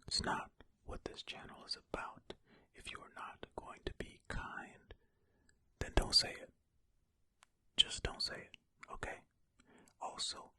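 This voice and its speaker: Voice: very high voice, pushed and bright, no echo. An ASMR host whispering breathily and without voice, close to the microphone, reading aloud and talking casually. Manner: casual asides between read-out passages. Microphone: close ear-to-ear ASMR microphone.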